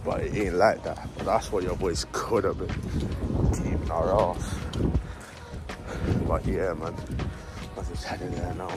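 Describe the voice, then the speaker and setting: A man's voice: low-pitched, a little slow, pauses often, loud music behind the voice. Young London man vlogging outdoors on a phone. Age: young adult. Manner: slangy, chatty, animated asides. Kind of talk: casual vlog talk to camera. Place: outdoors in a park and streets at dawn, also a bedroom. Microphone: phone held at arm's length.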